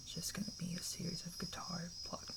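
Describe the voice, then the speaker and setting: An ASMR roleplayer playing a kind, reassuring camper, whispering in a hushed, soothing way and gently checking in. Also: mid-range voice, completely flat delivery, fast, vocal fry, very noisy. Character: kind, reassuring camper looking after a stranger.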